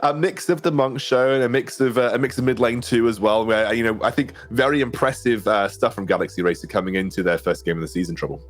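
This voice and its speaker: A man's voice: mid-range voice, steady tone. A man hosting an esports show over a video call, chatty with lots of fillers. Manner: fast, chatty, filler-heavy. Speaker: esports caster and host. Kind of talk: casual esports desk chat. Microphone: headset on a webcam call.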